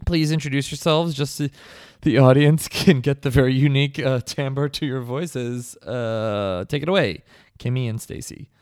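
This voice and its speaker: A man hosting a comedy podcast in a mock-earnest, rambling, deadpan way. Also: medium pitch, moderate pace, no room sound, clean studio sound. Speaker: podcast host.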